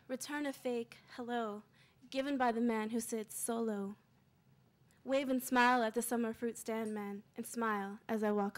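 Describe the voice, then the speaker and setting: A woman reading her spoken-word poetry live, in measured short phrases with dramatic pauses. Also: fairly high pitch. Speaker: poet reading own work.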